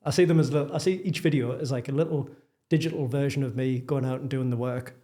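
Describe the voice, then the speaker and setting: A man's voice: mid-range voice, fairly even intonation, quick pace, dark tone. A man with a Geordie accent, a property developer talking candidly and self-deprecatingly as a podcast guest. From northeast England. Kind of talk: casual interview chat.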